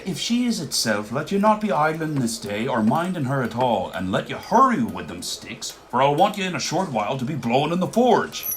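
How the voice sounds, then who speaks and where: An actor playing a gruff, bossy Irish village blacksmith in a radio play, speaking in a scolding, emphatic way. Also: male voice, mid-range voice, big pitch swings, brisk, few pauses, a little hiss or hum, natural voice. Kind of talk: radio play acting of rural Irish dialect.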